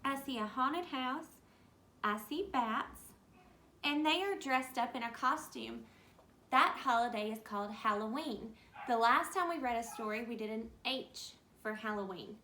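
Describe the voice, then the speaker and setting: A woman teacher reading a picture book aloud to children, gently pointing out the pictures and explaining. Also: a little high, animated, measured pace, slight background noise.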